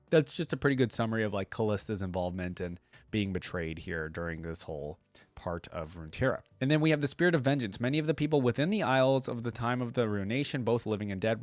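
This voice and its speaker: A man's voice: fairly low pitch, no room sound. A man hosting a reaction video, reading a wiki aloud and adding casual asides in his own words.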